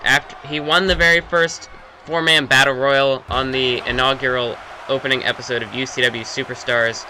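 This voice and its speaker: A man's voice: medium pitch, normal speed, slight background noise, low-quality recording. Young man calling wrestling play-by-play. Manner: energetic, surges loud on big moves, restarts phrases. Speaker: play-by-play commentator for his own video game matches.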